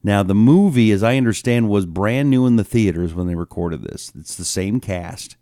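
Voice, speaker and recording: A man's voice: fairly low pitch, normal speed, dark tone, no echo, clean studio sound. A man hosting with a casual, informal spoken introduction and promotion, appealing directly to listeners. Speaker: podcast host.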